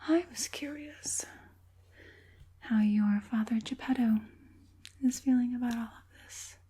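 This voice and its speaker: A woman playing a softly menacing evil witch puppet master in an ASMR roleplay, whispering breathily with a coaxing, politely sinister manner. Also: a little high, unhurried, husky. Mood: softly businesslike.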